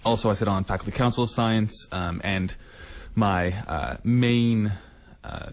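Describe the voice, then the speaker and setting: A man's voice: on the low side, unhurried. A young man, a student leader, answering interview questions earnestly with many ums. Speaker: student union director-elect, guest.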